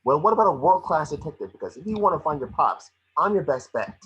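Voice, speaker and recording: A man playing a small, cocky, wisecracking mouse detective in a table read, with a rough-edged husky voice. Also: a little high, very animated, fairly bright tone, dull audio, full character voice. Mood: pleading. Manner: fast-talking wisecracking patter. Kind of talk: animated script table read.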